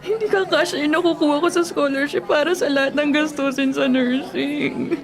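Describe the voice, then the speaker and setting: An actress in a radio drama playing a grieving, anxious young nursing student who has been orphaned, in a plaintive, emotional way. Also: female voice, high-pitched, steady tone, moderate pace. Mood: tearful, worried. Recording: music and crowd noise behind.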